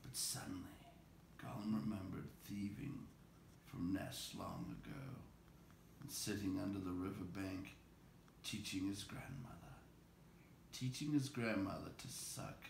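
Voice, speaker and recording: A man narrating a storybook aloud in an expressive read-aloud style. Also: sits low, measured pace, gravelly. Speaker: storybook reader. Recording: echoey room with faint background noise.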